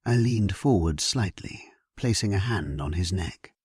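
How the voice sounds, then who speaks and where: A man giving a solemn, measured and theatrical first-person narration of a scripted dramatic sleep story. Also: sits low, animated, a little mellow, breathy edge, no echo, clean studio sound.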